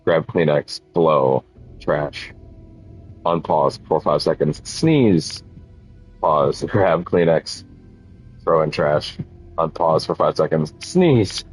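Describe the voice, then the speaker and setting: Deep husky-voiced man rambling on stream. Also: slow, long pauses, faint music behind, poor audio. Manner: rambling, filler-heavy, self-correcting. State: sniffly from a cold. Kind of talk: casual livestream chat while gaming.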